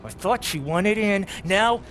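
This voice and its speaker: A menacing folksy raider boss. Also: male voice, high-pitched, expressive, unhurried. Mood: bitter, menacing. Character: menacing backwoods male raider leader, aggrieved and folksy. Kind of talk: video game cutscene acting.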